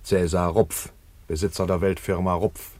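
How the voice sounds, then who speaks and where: Pompous low-voiced tycoon. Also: male voice, flat delivery, normal speed, darkish tone, dry recording. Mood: smug, businesslike. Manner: pompous, businesslike, long-winded. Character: pompous, portly, cold-blooded rich trouser manufacturer and tycoon. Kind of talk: satirical German radio drama acting.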